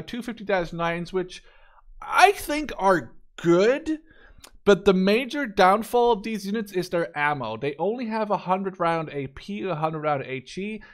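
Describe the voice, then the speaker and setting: Male gaming YouTuber, twenties, Dutch native speaker, higher-than-average pitch. Off-the-cuff review commentary that thinks out loud. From Netherlands. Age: 20s. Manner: rambling, thinks aloud, repeats words for emphasis. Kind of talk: casual unscripted game review commentary.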